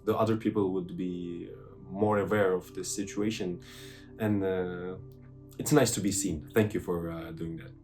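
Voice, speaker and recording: A man's voice: sits low, steady tone, moderate pace, music in the background. A young man with a Turkish accent, answering interview questions thoughtfully, with many fillers and restarts. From Turkey.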